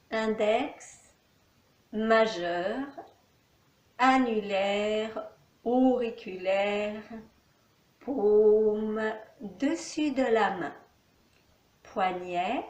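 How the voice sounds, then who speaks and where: A woman teaching yoga nidra, giving calm, soothing guided relaxation instructions with long pauses in an echoey room. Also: a little high.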